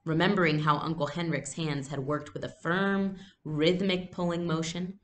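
A young adult woman giving a read-aloud lesson, explaining things deliberately as a teacher. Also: low voice, fairly even intonation. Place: small room at home. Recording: noisy home webcam recording.

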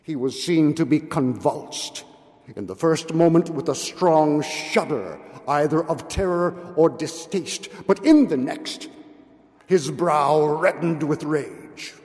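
Slow, theatrical older man reading aloud. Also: on the high side, darkish tone. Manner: theatrical, solemn, deliberate. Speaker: reader at a public event. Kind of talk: dramatic literary reading. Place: indoor hall, at a podium. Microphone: gooseneck podium microphone. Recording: long hall reverb.

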